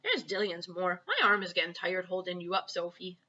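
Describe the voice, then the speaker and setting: A woman voicing a gentle, long-suffering friendly giant with muddled, folksy grammar for a children's storybook. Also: on the low side, very animated, brisk, muffled recording, exaggerated voice. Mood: weary. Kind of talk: children's storybook read-aloud.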